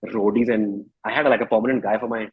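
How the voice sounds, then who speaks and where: A man with an Indian accent talking candidly as an interview guest, emphatic but halting, often repeating phrases, in a husky voice. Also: fairly low pitch, steady tone, narrow, tinny audio. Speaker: musician guest.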